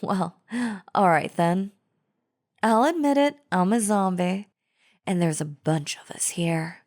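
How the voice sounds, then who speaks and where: A woman's voice: lowish voice, slow, a little mellow. A woman playing a chatty female zombie in an audio roleplay, casually coaxing the listener with false friendliness and a sly, wheedling drawl. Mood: sly, candid. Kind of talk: scripted audio roleplay acting, addressing the listener.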